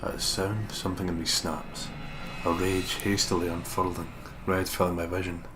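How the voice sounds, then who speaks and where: Casting: actor for somber male detective narrator in a dramatic game, deep voice over music. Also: level tone, normal speed, natural voice. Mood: somber, tense. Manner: grave, somber. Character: brooding, anguished male detective narrating his inner thoughts.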